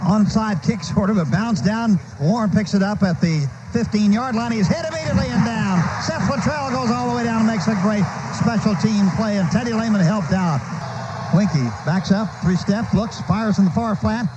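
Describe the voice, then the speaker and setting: A man's voice: high-pitched, normal speed, lo-fi sound. An American man doing live football play-by-play on radio in short, clipped phrases as the action happens. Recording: noisy archival radio sports broadcast, stadium ambience.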